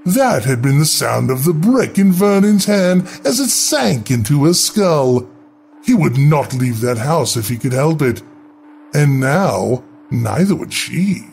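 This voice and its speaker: Male horror storyteller: higher-pitched voice, deliberate theatrical delivery, very animated intonation, over music. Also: vocal fry. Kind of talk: dramatic scripted horror narration. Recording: music bed under the voice.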